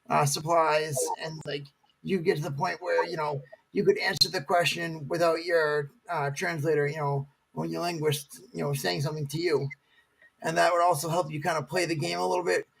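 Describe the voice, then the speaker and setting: Middle-aged man, gravelly voice, fairly high pitch, rambling veteran guest. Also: a bit bright, poor audio. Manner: rambling, many fillers and restarts. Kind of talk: casual talk show chat.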